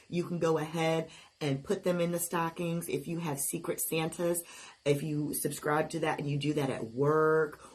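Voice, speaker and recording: A chatty, animated woman with a slightly scratchy voice, hosting a casual, upbeat live shopping show. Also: deep voice, husky. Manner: chatty, animated, laughs while talking.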